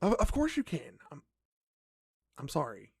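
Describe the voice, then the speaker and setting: Cautious female fey sibling, low-creaking husky voice, swooping intonation, earnest, gently stern, audio drama. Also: wildly swooping pitch, measured pace, dry recording, studio quality, exaggerated voice. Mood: gentle.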